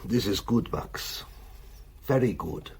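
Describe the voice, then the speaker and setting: An actor playing a worldly, cynical German admiral in a wartime radio drama, speaking in a wry, patronising, theatrical way. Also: male voice, lowish voice, lively intonation, slow pace, creaky, slight background noise, full character voice.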